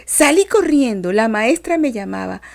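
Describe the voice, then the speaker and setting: A woman reading a children's lesson as a six-year-old girl who tells her dream in a slow, expressive sing-song. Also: fairly high pitch, creaky. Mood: frightened.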